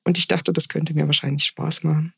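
A woman's voice: sits low, little pitch movement, fairly quick, gravelly, slightly rough, dry recording, clean studio sound. A woman in her early thirties answering interview questions in a casual, conversational way. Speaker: podcast guest, hospice volunteer.

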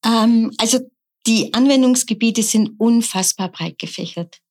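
A middle-aged woman, a nurse, explaining her practice in calm, measured interview answers. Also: on the high side. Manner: calm, measured, often slow and deliberate. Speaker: nurse and sound bowl practitioner.

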